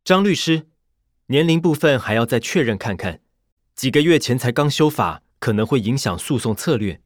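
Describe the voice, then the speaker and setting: A man's voice: medium pitch, lively intonation, moderate pace, no echo, clean studio sound, natural voice. A man voicing a shrewd, domineering senior lawyer in an audiobook, with a probing, nonchalant manner. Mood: cautioning. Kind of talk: audiobook character dialogue.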